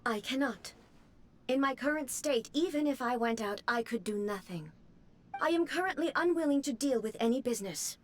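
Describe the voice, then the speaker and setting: A woman voicing a cold, formal female ruler's puppet body who talks in flat, clipped commands. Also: high-pitched, normal speed, a little hiss or hum.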